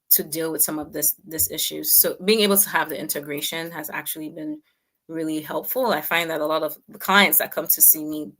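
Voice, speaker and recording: A woman's voice: sits low, a bit bright. A woman, answering reflectively as a guest author and counselor in a conversational interview, often repeating words as she explains. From Cameroon.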